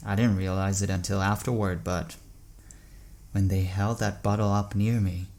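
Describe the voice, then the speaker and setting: An actor playing a troubled, haunted man in an audio drama, halting and brooding as he confesses dark thoughts. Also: low voice, level tone. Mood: haunted, confessional.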